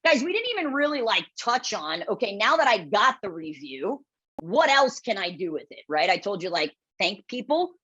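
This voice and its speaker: A woman with a loud voice presenting a webinar, blunt and direct, often checking in with the audience as she coaches. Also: medium pitch, sing-song, normal speed, husky. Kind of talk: instructional webinar talk. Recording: dull lo-fi webinar audio.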